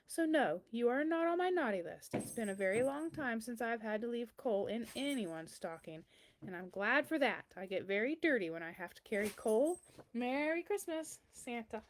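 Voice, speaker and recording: A woman's voice: fairly high pitch, big pitch swings, moderate pace, slight background noise. A woman voicing a kindly, very old man who answers a child's letters in a storybook, reassuring and explanatory. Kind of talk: children's storybook read-aloud.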